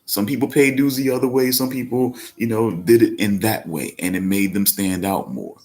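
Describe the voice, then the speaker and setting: Male podcast host, very low voice, loose rambling delivery, casual live-show chat. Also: normal speed, darkish tone. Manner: rambling, with many fillers and restarts; speaks up when emphatic. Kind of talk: casual live-stream talk.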